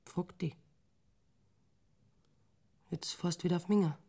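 A woman's voice: lowish voice, fairly even intonation, unhurried, vocal fry, dark tone, breathy edge, heavily acted. An actress playing a reproachful, worried, down-to-earth woman with a Bavarian accent in a radio drama. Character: scolding, exasperated Bavarian woman who worries about him.

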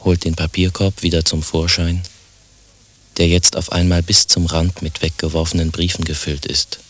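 An actor as a dry, husky narrator reading out stage directions in a radio play, deadpan. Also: male voice, deep voice, moderate pace.

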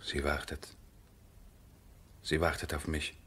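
A man's voice: very deep voice, little pitch movement, takes it slowly, sharp tone, slightly rough, heavily acted. An actor playing a resolute, impatient, brooding nobleman in a 1970s German radio play, terse and commanding.

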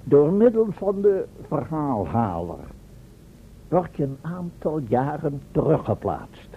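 An actor playing a pompous, long-winded inventor professor who lectures formally and corrects himself, in a Dutch radio play. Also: male voice, fairly high pitch, exaggerated voice. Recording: tinny band-limited old radio recording, faint noise.

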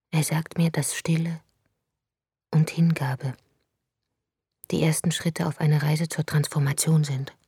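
An actress playing a weary, inward-turned woman writer, narrating her thoughts in a hushed, near-whispered monologue for a radio drama. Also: low voice, breathy edge, no echo, studio quality, full character voice.

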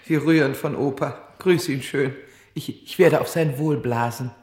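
A man's voice: medium pitch, moderate pace, darkish tone, natural voice. An actor playing a jaunty jailed gangster who soothes his wife with patronizing endearments, in a comic radio crime drama. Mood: wistful, jaunty. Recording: echoey vintage radio drama recording.

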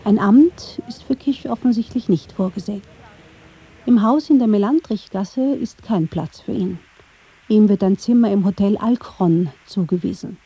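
A woman reading scripted documentary narration in a measured, factual storytelling manner. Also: medium pitch, dark tone, breathy edge. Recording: slightly dull, band-limited sound.

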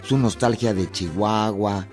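A man's voice: lowish voice, a little slow, music in the background. A man narrating a radio drama from a script.

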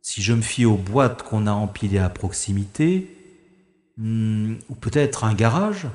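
A man's voice: medium pitch, darkish tone, reverberant, long reverb tail. A man playing a wry, unflappable special agent. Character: special agent hero, calm and wry, narrating his own escape. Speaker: lead character and narrator. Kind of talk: first-person audio drama narration.

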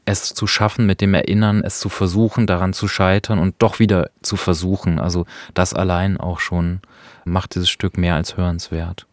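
A man's voice: deep voice, little pitch movement, moderate pace, no room sound, studio quality. A man co-hosting a radio discussion of literature in a reflective way. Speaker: radio co-host. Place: radio studio.

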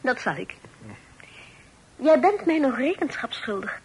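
Period radio drama: playful young sister, high and very animated, teasing, old-fashioned diction. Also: female voice, measured pace, breathy edge, heavily acted, poor audio. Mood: teasing, affectionate. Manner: playful, probing, formal old-fashioned phrasing. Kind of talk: Dutch radio play acting.

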